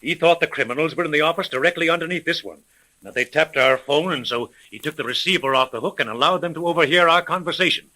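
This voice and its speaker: An actor playing an earnest, courteous older gentleman client in a 1930s radio drama, formal and polite. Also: on the high side, fast talker, low-quality recording.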